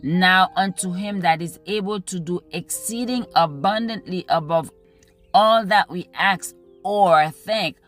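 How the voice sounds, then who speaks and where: A woman reading a devotional text and scripture in a measured, deliberate manner, pausing between phrases. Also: fairly low pitch, fairly bright tone. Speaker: devotional reader. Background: music under the voice.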